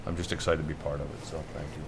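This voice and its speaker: An American man giving an informal, rambling report as a town board member, in halting phrases. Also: rumbling low, little pitch movement. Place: indoor town board meeting. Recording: meeting room with faint noise.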